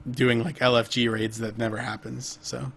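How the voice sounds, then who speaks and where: A man's voice: mid-range voice, little pitch movement, moderate pace, gravelly, a bit bright, breathy edge. A man co-hosting a podcast, chatting casually about games over a remote call, rambling with lots of fillers and restarts. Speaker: podcast co-host. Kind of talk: casual gaming chat.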